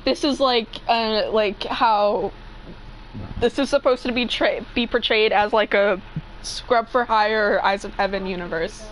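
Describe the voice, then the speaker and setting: A woman chatting casually as a co-reader, blunt, slangy and quick to swear. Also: mid-range voice, steady tone, slight background noise, low-quality recording. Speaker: co-reader and commentator. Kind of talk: casual chat.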